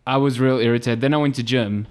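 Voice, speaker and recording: Animated young man telling stories. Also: medium pitch, quick pace, clear, resonant tone. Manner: animated storyteller, acts out quotes. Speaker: podcast co-host. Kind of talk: casual chat.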